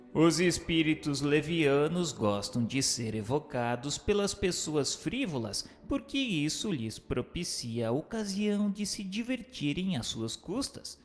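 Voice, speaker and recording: A man reading scripted notes and questions for a radio drama with formal, measured diction. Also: a little high. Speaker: reader posing the questions.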